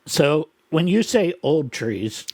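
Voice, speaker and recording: A man's voice: fairly high pitch, very animated, slow pace, a little mellow. A man hosting a podcast, giving the intro and welcoming a guest in a friendly way. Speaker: podcast host.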